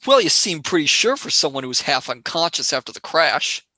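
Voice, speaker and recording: A man's voice: fairly high pitch, big pitch swings, a little fast, pushed and bright, no echo, heavily acted. A man voicing a blunt, skeptical young male space pilot who argues casually in a radio play. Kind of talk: amateur radio play acting.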